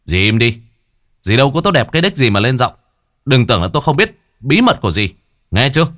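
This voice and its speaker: A man's voice: fairly low pitch, very animated, no room sound, clean studio sound. Man doing a hot-headed young brother. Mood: angry. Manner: forceful, gruff, fast.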